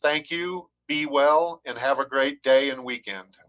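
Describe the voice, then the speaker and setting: A man's voice: medium pitch, unhurried, a bit bright, poor audio. A man hosting an online coffee meetup over video call, thanking the guest and closing politely.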